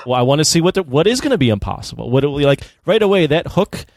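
A man's voice: on the high side, very animated, quick pace, no room sound, studio quality. A man co-hosting a film podcast in casual chat, acting out reactions and peppering his talk with "like". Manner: animatedly quotes imagined reactions, says 'like' often. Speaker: podcast co-host reviewing films.

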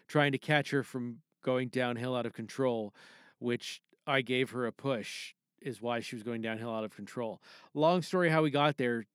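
Clear-voiced Canadian man chatting casually. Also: medium pitch, a bit bright, dry recording, clean studio sound. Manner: rambling, conversational. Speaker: podcast host. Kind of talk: casual chat. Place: home podcast booth.

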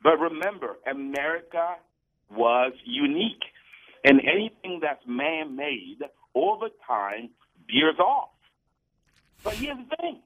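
A man's voice: a little high, very animated, slow. A man from Cameroon, a guest on a radio show, talking earnestly and preachily about his faith and often repeating words as he starts a thought. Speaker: guest, business program founder. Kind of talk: radio interview talk. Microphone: phone. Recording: tinny phone line.

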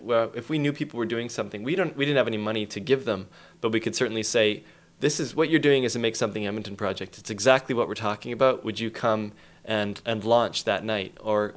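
Canadian man guest, reflective and conversational, average pitch. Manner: thoughtful, explains with many restarts. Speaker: guest, taskforce co-lead. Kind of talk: casual podcast chat.

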